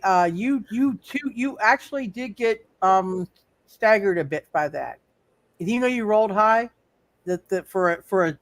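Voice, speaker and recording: A game master refereeing a live tabletop roleplaying game in a halting way, often repeating phrases. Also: mid-range voice, no echo.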